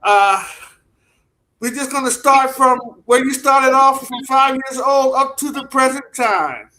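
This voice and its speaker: A man's voice: very high-pitched, a little slow, bright, forceful tone, low-quality recording. A man hosting a talk show, introducing his guest in a formal, welcoming way. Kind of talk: interview hosting.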